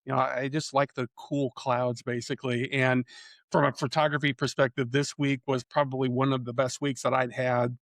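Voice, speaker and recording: A man in his fifties from central Iowa talks through his storm chasing as an interview guest. He speaks at a middling pitch and wanders through his answers, leaning on "you know" and restarting his sentences. The recording is dry, with no room sound. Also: little pitch movement, moderate pace, no room sound. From central Iowa, USA. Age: 50s. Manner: rambling, many "you know" fillers and restarts. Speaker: storm chaser and photographer, guest. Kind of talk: casual interview conversation.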